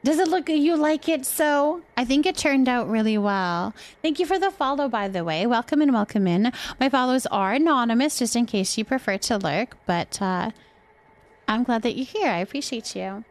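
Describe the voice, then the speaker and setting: A woman's voice: on the high side, expressive, normal speed. A woman hosting a live game stream, chatting casually and thinking aloud as she goes.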